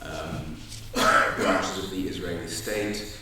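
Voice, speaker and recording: Panelist, deep and deliberate, heard from afar in a reverberant auditorium. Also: male voice, fairly even intonation. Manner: measured, hesitant with fillers. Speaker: panel speaker. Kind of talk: debate panel answer. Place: large university auditorium. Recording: echoey hall, far from the mic, faint hiss.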